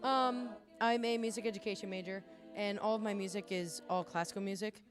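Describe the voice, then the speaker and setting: Young woman music director, low for a woman. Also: brisk. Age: young adult. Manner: lower voice for a woman, relaxed answers. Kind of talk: interview. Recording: music playing behind.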